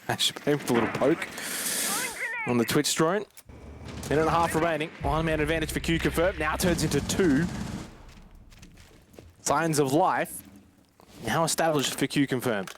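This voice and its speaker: Male esports caster, fairly high voice, chatty informal live commentary, clear game noise behind. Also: normal speed, long pauses. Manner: conversational, informal asides to listeners. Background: video game sound.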